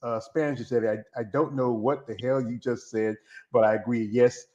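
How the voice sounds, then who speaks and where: A man's voice: mid-range voice, fairly even intonation, moderate pace, dark tone, muffled recording. A man from the American South with a Southern accent, hosting a casual interview in a loose, joking way and repeating himself. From United States. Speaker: interview host.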